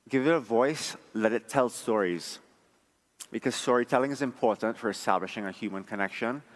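A man from Trinidad gives a prepared conference talk in a measured, conversational, explanatory way. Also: lowish voice. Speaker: conference speaker, front-end engineer. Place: large conference room. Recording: echoey hall, long reverb.

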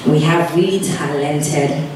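Nigerian woman, conference speaker, lowish voice, deliberate pace; distant, reverberant hall mic. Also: background noise. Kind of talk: conference presentation.

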